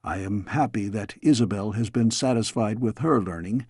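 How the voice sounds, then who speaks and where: A man voicing a fatherly storybook king, grave yet tender, with measured, formal speech. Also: on the low side, darkish tone, slightly rough, no echo, clean studio sound.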